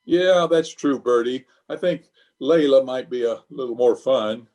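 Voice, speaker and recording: Male actor as pompous middle-aged official, fussy, exasperated, radio sitcom delivery, mid-pitched. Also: measured pace, darkish tone, lightly acted. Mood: deliberating. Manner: self-important, exasperated. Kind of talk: old-time radio comedy acting.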